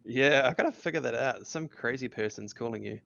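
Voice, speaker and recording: A man's voice: medium pitch, brisk, creaky, fairly bright tone, no echo. A man reading the part of an awkward young man in an amateur podcast table read, earnest and rambling, on a dull lo-fi mic.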